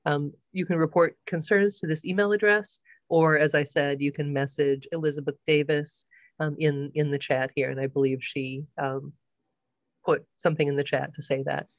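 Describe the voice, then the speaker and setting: A woman hosting an online short course on a video call, welcoming people and going over house rules with many pauses and ums. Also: low voice, little pitch movement, no echo, lo-fi sound. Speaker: course host.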